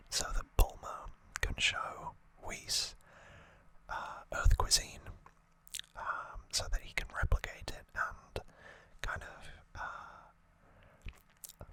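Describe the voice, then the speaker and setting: An ASMR host telling a story in a breathy, unvoiced whisper, gentle, unhurried and informal.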